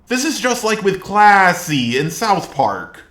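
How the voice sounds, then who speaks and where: A man's voice: high voice, very animated, takes it slowly, fairly bright tone. A man giving informal review commentary with wry, joking asides. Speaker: video reviewer. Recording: roomy, echoey sound.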